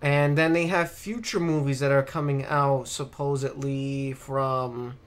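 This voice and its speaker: A man's voice: medium pitch, steady tone, measured pace, clean tone. A man hosting a podcast, chatting casually and ranting with exasperated emphasis, repeated words and casual swearing. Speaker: podcast host. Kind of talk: casual chat, ranting film commentary.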